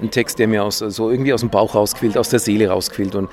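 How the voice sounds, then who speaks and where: Fast-talking, self-deprecating man. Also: on the low side, steady tone, darkish tone, a little hiss or hum. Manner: hesitant, self-deprecating, many fillers. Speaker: teacher and poetry slammer. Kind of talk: casual interview answers.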